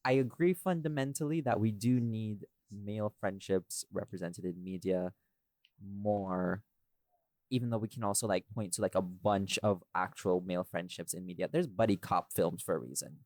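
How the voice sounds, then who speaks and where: Male podcast host, lower-pitched, dry sound, rambling casual opinions with loose profanity. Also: moderate pace, a little mellow, dry recording. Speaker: podcast host and audio drama writer.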